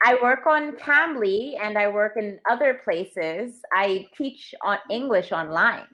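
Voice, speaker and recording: A woman from New York with a New York accent, an online English tutor speaking slowly and clearly on a video call, encouraging and gently correcting. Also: medium pitch, lo-fi sound. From New York, USA. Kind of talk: slow, clear tutoring conversation. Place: home teaching room with toys.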